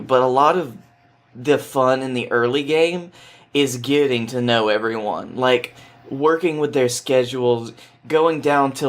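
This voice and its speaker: Man, podcast guest, medium pitch, casual gaming talk, earnest explainer, thinks aloud. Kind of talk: casual explanatory chat about video games.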